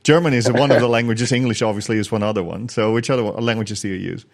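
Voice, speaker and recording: A man's voice: medium pitch, fairly even intonation, fast talker. A man hosting an interview, asking long, chatty, rambling questions. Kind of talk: casual interview.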